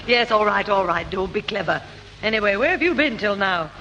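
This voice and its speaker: A woman playing an exasperated, brisk mother in a 1960s radio sitcom. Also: medium pitch, animated, sharp tone, big-room sound, dull audio. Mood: scolding. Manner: brisk, scolding. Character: scolding, exasperated working-class mother of a schoolboy. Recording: slightly noisy old radio broadcast.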